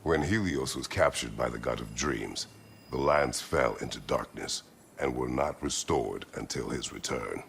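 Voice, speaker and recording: An actor playing a stern, weary warrior in a video game, speaking tersely, gravely and formally in a gravelly rumble. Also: male voice, measured pace, a bit bright, exaggerated voice. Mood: somber, resolute. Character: stern, brooding, weary male warrior with a violent past. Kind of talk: cinematic video game acting.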